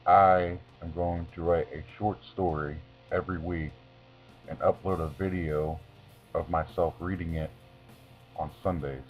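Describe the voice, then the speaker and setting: A man presenting and reading his own short story in a theatrical, deliberate way. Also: low voice, steady tone. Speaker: video creator reading own short stories. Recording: dull lo-fi sound with music behind.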